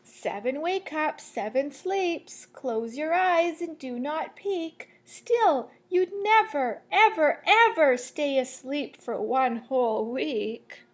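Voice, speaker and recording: A woman with a high voice reads aloud to children at a slow, deliberate pace. She has the manner of a teacher sharing a picture book, giving the lines a sing-song, storytelling shape. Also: animated. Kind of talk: children's read-aloud storytelling with asides to listeners.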